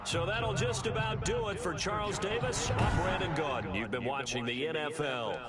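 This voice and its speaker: A man's voice: a little high, normal speed, over a noisy crowd, close to an everyday voice. A man playing a polished football play-by-play announcer, commentating on a game. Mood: signing off. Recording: loud stadium noise behind the voice. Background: stadium ambience in a football video game.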